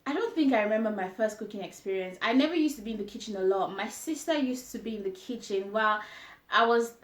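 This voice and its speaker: Young Nigerian woman vlogger, mid-pitched, chatty, lots of ums and giggles; roomy reverb. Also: a bit bright. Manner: chatty, laughs often, frequent ums. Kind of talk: casual chat answering tag questions.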